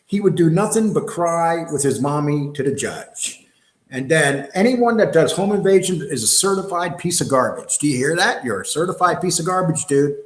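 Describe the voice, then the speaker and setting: A man's voice: fairly high pitch, roomy sound. A middle-aged man giving casual, mocking commentary as a show co-host, sarcastic and prone to swearing. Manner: sarcastic, profane.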